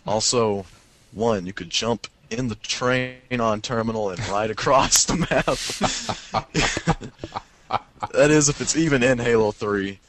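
Mid-pitched man, casual and jokey. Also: measured pace, pauses often, fairly bright tone. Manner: jokey, often laughing through his words. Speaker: podcast co-host. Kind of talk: casual gaming chat, reading out listener messages.

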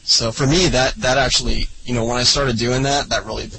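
A man's voice: medium pitch, steady tone, a little fast, gravelly, a crowd in the background, low-quality recording. A man co-hosting a casual gaming podcast, rambling through tips with lots of ums and restarts. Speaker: podcast co-host.

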